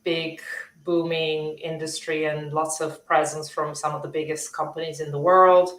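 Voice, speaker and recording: A woman in her thirties from Bulgaria, a tech leader chatting as a guest over a video call, friendly and quick to laugh. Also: sits low, level tone, fairly bright tone. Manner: friendly, laughs easily, fillers. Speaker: guest, software development leader. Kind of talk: casual interview chat. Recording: roomy echo.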